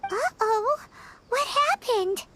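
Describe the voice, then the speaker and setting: A woman voicing a small, curious, childlike fairy companion in a video game, with a squeaky delivery. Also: expressive, drawn-out words, heavily acted. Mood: puzzled. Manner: refers to self in third person, squeaky childlike delivery. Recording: music playing loudly behind.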